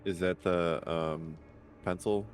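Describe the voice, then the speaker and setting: A man's voice: sits low, steady tone, takes it slowly, a little hiss or hum. A man playing a tabletop game, chatting casually in a wry, folksy way.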